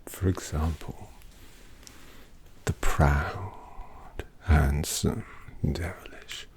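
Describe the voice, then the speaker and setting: A man voicing a sardonic old male vampire painter who drawls intimately in a gravelly whisper, as an ASMR roleplay. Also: extremely low pitch, fairly even intonation, drawn-out words, darkish tone, full character voice. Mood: snide whisper.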